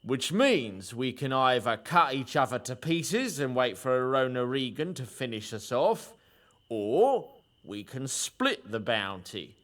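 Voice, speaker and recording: A blunt mercenary captain in a fantasy audio drama. He talks slowly in rough, rustic grammar. His pitch is lively as he bargains with rivals. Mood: bargaining. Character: blunt, bargaining male leader of dirty mercenaries.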